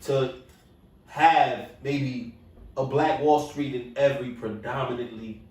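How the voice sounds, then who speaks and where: A man's voice: medium pitch, steady tone, slow pace, darkish tone. A man co-hosting a podcast in casual chat, asking questions and backing the others with short agreements. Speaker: podcast co-host. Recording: roomy echo, far from mic.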